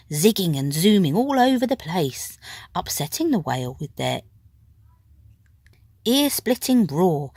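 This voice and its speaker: A woman reads a children's picture book in verse with a lowish, very lively voice. She takes her time and plays up the drama, sometimes hushed and lingering on words. The sound is close and dry. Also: dry recording. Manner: dramatic, with hushed whispered phrases and drawn-out words. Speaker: storybook reader. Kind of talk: expressive children's picture-book narration in rhyme.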